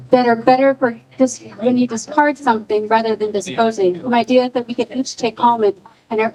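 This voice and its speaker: A woman's voice: a little high, a little mellow, poor audio. A woman moderating a panel, asking long, rambling questions with many restarts.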